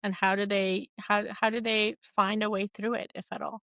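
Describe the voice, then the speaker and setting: A woman host born in Iran and raised in Canada, speaking in a measured, hesitant way as she thinks aloud. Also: medium pitch, flat delivery, sharp tone, dry recording. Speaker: podcast host. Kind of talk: reflective conversation.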